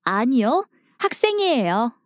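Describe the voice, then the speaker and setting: A woman voicing a friendly young woman in textbook dialogues, with clear and careful diction. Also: fairly high pitch, very animated, unhurried. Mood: polite. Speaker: dialogue voice actress.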